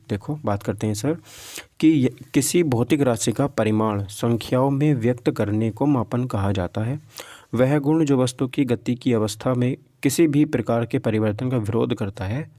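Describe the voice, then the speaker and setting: A man's voice: mid-range voice, warm tone, no echo, clean studio sound. A man teaching physics for exam preparation in an informal lecture style, reading out questions and explaining them, often repeating himself and checking that listeners follow.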